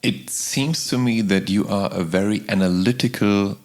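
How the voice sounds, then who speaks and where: A man's voice: on the low side, moderate pace, darkish tone. A man with a German accent, a non-native English speaker, hosting a podcast and asking interview questions.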